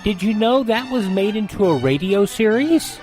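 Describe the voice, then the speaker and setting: A man's voice: sits high, expressive, moderate pace, darkish tone. A man hosting a classic radio show, scripted but conversational, with folksy asides. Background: music.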